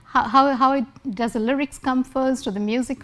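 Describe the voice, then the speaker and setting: Woman, interviewer, casual chat, fairly high voice, frequent short affirmations. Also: steady tone, moderate pace, a bit bright.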